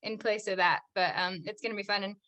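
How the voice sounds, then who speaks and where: Bright-voiced young American woman, chatty. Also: mid-range voice, steady tone, fast talker. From United States. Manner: chatty, says "like" constantly, laughs often. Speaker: actress, podcast guest. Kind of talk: casual interview chat.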